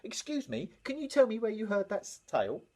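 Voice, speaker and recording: A man voicing a small, excitable boy fish who earnestly tells tall tales in a storybook. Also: high voice, a little fast, pitched above the performer's own voice, exaggerated voice. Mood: earnest, curious.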